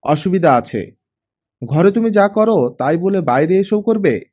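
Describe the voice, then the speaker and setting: A man's voice: sits high, lively intonation, unhurried, darkish tone, voice pushed up. A man voicing a stern wife in an audiobook drama, speaking in a cold, scolding, cutting manner. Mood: firm. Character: stern, sharp-tongued wife of a retired officer.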